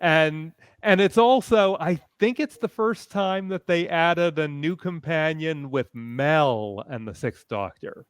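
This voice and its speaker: A man with a fairly high, smooth voice talks slowly and carefully on a fan panel. His manner is measured and explanatory, and the sound is dry and close. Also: no room sound. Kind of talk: informative casual fan discussion. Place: indoors, online panel call.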